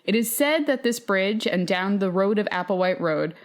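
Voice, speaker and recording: Woman hosting a podcast, reading listener stories out loud, casual banter, bit exasperated and sweary. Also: mid-range voice, lively intonation, normal speed. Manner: exasperated, playful swearing asides. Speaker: podcast host.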